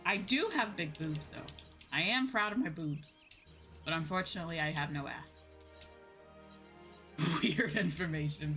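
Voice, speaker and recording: Bright-voiced woman chatting on a game stream. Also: mid-range voice. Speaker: livestreamer. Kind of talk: casual gaming stream chat. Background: music.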